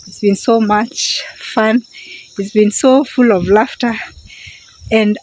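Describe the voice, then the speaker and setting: A woman's voice: mid-range voice, fairly even intonation, takes it slowly, darkish tone, rough recording. A middle-aged Kenyan woman with a Kenyan accent, chatting casually to her phone camera as she walks outdoors, often repeating words for emphasis. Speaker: vlogger. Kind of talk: casual vlog to camera while walking. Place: outdoors, walking in a quiet semi-rural area. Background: birds and crickets.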